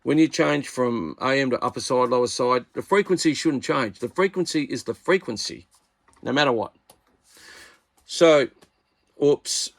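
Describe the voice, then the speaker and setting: A man's voice: mid-range voice, a little slow, creaky. A middle-aged man with an Australian accent, talking casually and bluntly at his workbench about radios he bought. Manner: blunt, exasperated, plain-spoken. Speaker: radio hobbyist channel host.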